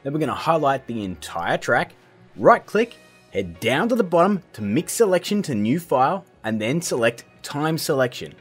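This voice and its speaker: Casting: male tutorial host, thirties, lively swooping delivery, slightly rough voice, jokey and casual. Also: fairly high pitch, normal speed, faint music behind. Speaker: host of a filmmaking tutorial show. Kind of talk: playful scripted tutorial to camera.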